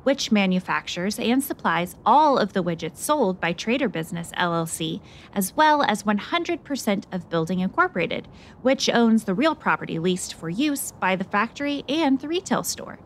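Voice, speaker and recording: A woman's voice: mid-range voice, very animated, moderate pace, slight background noise. A woman playing a plucky, self-assured investigative reporter in a scripted radio drama, inquisitive and theatrical. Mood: matter-of-fact.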